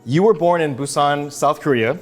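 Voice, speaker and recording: A man's voice: fairly high pitch, normal speed, soft background music. A man hosting a casual interview show, talking with an upbeat, enthusiastic manner in a cafe after hours. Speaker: host.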